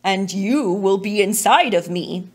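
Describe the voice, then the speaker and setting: A woman voicing a hungry, calmly menacing male lion in a children's storybook, deliberate and taunting. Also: medium pitch, close to an everyday voice. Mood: calm menace.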